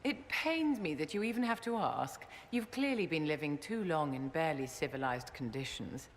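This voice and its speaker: A woman's voice: deep voice, lively intonation, moderate pace, a little hiss or hum. An actress playing a pampered, haughty noblewoman who speaks in an arch, condescending drawl. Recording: reverberant game audio with long echo.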